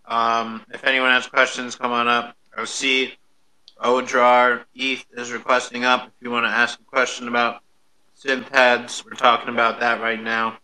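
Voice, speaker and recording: A man's voice: mid-range voice, completely flat delivery, moderate pace, bright, forceful tone, slight background noise, low-quality recording. A man hosting a casual live chat in an informal way. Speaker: host.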